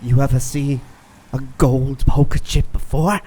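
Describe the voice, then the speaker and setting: A man's voice: mid-range voice, steady tone, unhurried, mellow, a little hiss or hum, lightly acted. A man playing an eager, whiny sidekick to a detective in a noir radio play.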